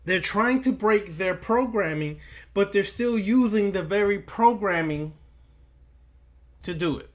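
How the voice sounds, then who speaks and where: A man giving an esoteric lecture like a preacher, speaking in deliberate, halting phrases with long pauses and repeating key lines for emphasis. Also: sits high, expressive. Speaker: occult lecturer.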